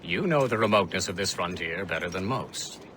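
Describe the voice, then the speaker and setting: A man playing an eager, chatty gentleman scientist in a video game, speaking with enthusiasm. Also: low voice, expressive, brisk, slight background noise.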